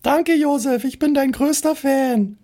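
A man's voice: very high voice, unhurried, mellow, pitched above the performer's own voice. A man voicing a small, adoring girl fan in a childlike way, as a comic bit on a podcast. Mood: eager, adoring. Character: small fawning girl fan named Lisbeth.

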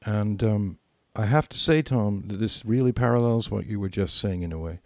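An older British man with a low, gravelly voice tells personal stories in podcast conversation. He leans on repeated phrases for emphasis, and the recording is close and dry. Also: moderate pace, a little mellow, no echo. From Britain. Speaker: podcast co-host.

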